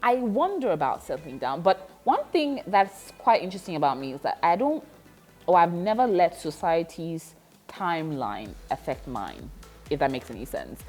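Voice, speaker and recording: Woman, 30, vlogger. Lower-pitched, reflective, laughs a lot, light music bed. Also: big pitch swings, moderate pace, darkish tone. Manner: reflective, laughs often, many fillers. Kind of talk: casual confessional vlog.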